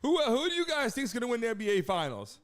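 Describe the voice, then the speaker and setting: A man's voice: very high voice, huge pitch swings, rapid-fire, very noisy. A man hosting a live stream, chatting casually in slangy hype talk and addressing his viewers as baby. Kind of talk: casual live-stream chat and reaction to a game. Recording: loud music behind the voice. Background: loud music.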